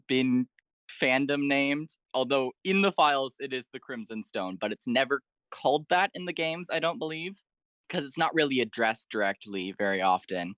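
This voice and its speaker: A man's voice: medium pitch, a bit bright, no echo. A man talking casually as a podcast guest, explaining fan lore in an informal way.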